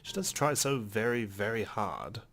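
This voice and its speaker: A man's voice: fairly low pitch, expressive, normal speed, a bit bright. A man hosting a let's play, reading a visual novel aloud as narration with casual commentary of his own. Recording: faint game music behind. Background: game music.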